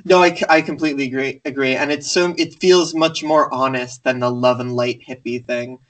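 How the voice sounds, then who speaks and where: A man's voice: medium pitch, fairly even intonation, fairly quick. A man hosting a casual podcast interview, friendly and full of fillers. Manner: friendly, filler-heavy, enthusiastic.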